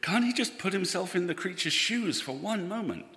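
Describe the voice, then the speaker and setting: An actor as an earnest, sentimental man with a bright voice and a very lively swing in pitch. He speaks emotionally and expressively in a live audio drama, echoing through a hall. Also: moderate pace, full character voice. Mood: warmly animated. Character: earnest, sentimental man, attached to his ship friend. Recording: echoey live hall, reverberant.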